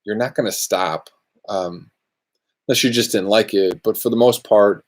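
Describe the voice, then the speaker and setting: A man talks loosely to viewers from his shop during a live question-and-answer stream. His voice sits a little low and his delivery is relaxed and rambling, jumping from one comment to the next. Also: normal speed. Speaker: luthier podcast host. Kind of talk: casual live Q&A chat. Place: workshop.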